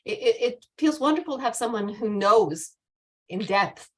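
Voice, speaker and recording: A woman's voice: medium pitch, lively intonation, moderate pace. A woman with a Canadian accent giving earnest thanks in an online panel discussion. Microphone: video call.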